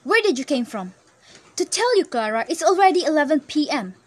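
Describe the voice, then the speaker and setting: A woman's voice: high voice, sing-song, fairly quick. A woman playing a stern but caring mother of a teenager in an amateur radio drama. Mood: scolding.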